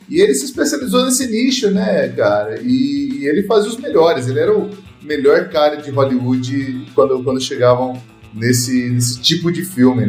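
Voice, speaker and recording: An enthusiastic Brazilian man hosts a casual film chat, speaking a little higher than most men. His voice rings in an echoey room, with faint music underneath. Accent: Brazilian Portuguese. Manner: enthusiastic, peppered with "cara" and "né". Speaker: podcast host.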